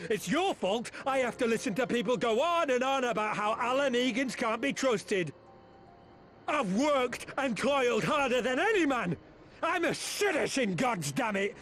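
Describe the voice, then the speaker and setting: An actor playing a bullying, bitter imperial soldier in a video game, haughty and sneering. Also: male voice, very high voice, fairly bright tone, heavily acted. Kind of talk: video game cutscene voice acting.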